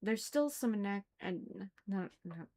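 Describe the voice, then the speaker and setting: A woman giving casual, critical commentary, thinking aloud haltingly and repeating phrases for emphasis. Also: medium pitch, lively intonation, darkish tone. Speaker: reader commenting on a religious text.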